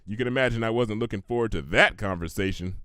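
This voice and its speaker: A man's voice: fairly low pitch, fast, bright, forceful tone, clear, resonant tone, no echo, studio quality. A man voicing an earnest, plain-spoken female farm pony. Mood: grateful, relieved. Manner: folksy, emphatic.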